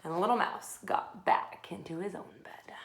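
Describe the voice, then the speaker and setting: A woman narrating a children's picture book expressively, with dramatic pauses and hushed phrases. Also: lowish voice, creaky, in a whisper. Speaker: storybook reader. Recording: faint background noise.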